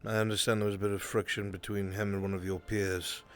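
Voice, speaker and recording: A man playing a weary, authoritative fantasy lord and commander in improvised roleplay, speaking in a measured, formal way. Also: lowish voice, little pitch movement, fairly bright tone, close to an everyday voice. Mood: measured, disapproving. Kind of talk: live improvised roleplay acting. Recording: music behind the voice.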